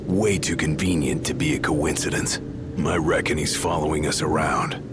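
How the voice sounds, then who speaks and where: An actor playing a stern, wary bodyguard in a video game, speaking bluntly. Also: male voice, rumbling low, steady tone, moderate pace, very noisy. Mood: gruff, skeptical. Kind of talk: video game cutscene acting.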